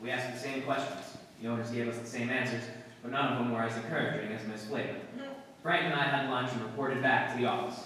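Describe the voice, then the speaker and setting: An actor playing a businesslike, persistent police sergeant in a 1950s-style radio drama, with clipped, matter-of-fact delivery. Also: male voice, mid-range voice, fairly even intonation, fast, sharp tone, close to an everyday voice. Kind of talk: 1950s radio drama acting with first-person narration. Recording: distant, very echoey hall with noise.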